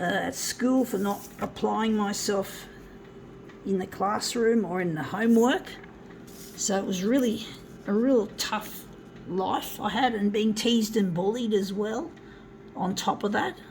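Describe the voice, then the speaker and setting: A woman giving a rambling, earnest personal monologue, halting and repeating herself as she addresses her listeners. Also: medium pitch, a little mellow. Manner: halting, repetitive, often addressing listeners as folks. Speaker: presenter of a personal video. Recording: noisy amateur recording with background ambience.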